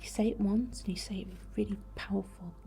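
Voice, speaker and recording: A British woman whispering in a breathy, soothing, reassuring way, as an ASMR host leading a healing ritual. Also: mid-range voice, fairly even intonation, fast talker, mellow, slight background noise. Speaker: ASMR host, spiritual healer.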